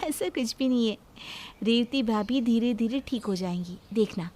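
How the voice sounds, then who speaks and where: A woman's voice: fairly high pitch, moderate pace, a little mellow, close to an everyday voice. A woman playing a humble, conciliatory young daughter-in-law in a family moral story. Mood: placating. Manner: placating, deferential.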